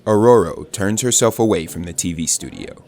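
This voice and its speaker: Deep-voiced male audio drama narrator. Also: animated, normal speed, a little mellow.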